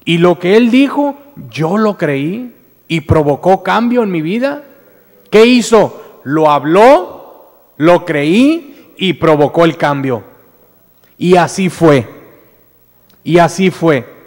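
A Mexican man preaches from a pulpit with a high, lifted voice, telling his story earnestly and often restarting on repeated words before building to emphatic declarations. His voice carries into a church hall with a long, ringing reverb. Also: animated, takes it slowly, pauses often, darkish tone. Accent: Mexican Spanish. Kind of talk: sermon. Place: church sanctuary with stage, indoors. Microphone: standing mic at pulpit.

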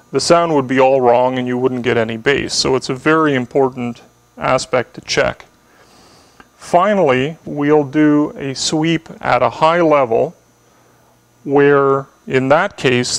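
A man in his thirties explains factory test procedures in a steady, technical way, often repeating a word as he restarts a phrase. His voice sits at an ordinary pitch and comes across dry, with little room sound around it. He is picked up by a camera mic at a medium distance indoors. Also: no echo. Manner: measured, technical, repeats words when restarting. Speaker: company spokesman giving a factory tour. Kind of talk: explanatory walkthrough. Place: indoor factory test area and equipment lab.

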